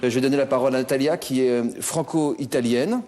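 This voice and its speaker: A man's voice: medium pitch, fast talker, mellow, in a large hall, a little hiss or hum. A man hosting a talk show, jovial and teasing with his guests. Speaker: host.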